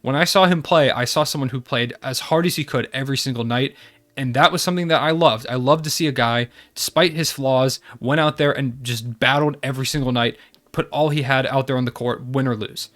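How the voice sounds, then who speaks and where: Young male sports vlogger, quick and eager, nasal from a cold. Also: medium pitch, fairly bright tone. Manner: enthusiastic, fan-like. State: congested from a cold. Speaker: basketball channel host. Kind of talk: casual video recap.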